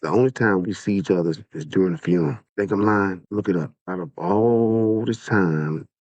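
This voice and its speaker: Podcast host, male, 60s, low register, stuffed up with a cold, earnest and exhorting, casual solo talk, dry recording. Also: moderate pace, darkish tone, no echo, muffled recording. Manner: earnest, exhorting, repeats points. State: sick with a head cold.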